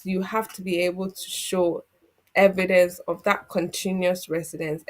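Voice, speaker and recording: A woman with a slightly low voice talks through legal advice in a steady, methodical way. She sounds like a solicitor guiding viewers through a process. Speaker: immigration solicitor guest. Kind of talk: explaining legal advice on a community TV show.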